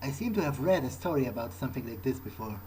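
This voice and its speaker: A man role-playing a wary, pragmatic vampire in a video game, thinking aloud in a halting, understated way. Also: medium pitch, lively intonation, mellow, a little hiss or hum, lightly acted, rough recording. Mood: curious, puzzled. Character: male vampire musing to himself, wary, pragmatic. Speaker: gamer playing in character. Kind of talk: improvised in-character game monologue.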